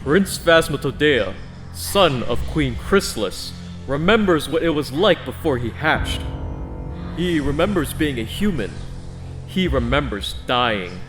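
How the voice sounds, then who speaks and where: A man delivering scripted narration in a measured, theatrical storyteller manner, as the narrator of an audio story. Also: fairly high pitch, very animated. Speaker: audiobook narrator and channel host. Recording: music behind the voice. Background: instrumental music.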